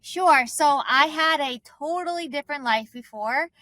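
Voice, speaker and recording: A woman's voice: high-pitched, normal speed, bright, forceful tone, no echo. A woman from Eastern Europe and Israel, a founder guest in an interview, telling a personal story conversationally. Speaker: guest, startup founder and CEO.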